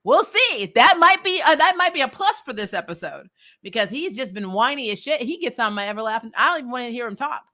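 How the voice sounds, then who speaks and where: A woman's voice: a little high, sing-song, fast, pushed and bright. A woman giving casual, opinionated commentary as a recap vlogger, sarcastic and exasperated, with slangy talk and some swearing. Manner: acts out characters' lines mockingly.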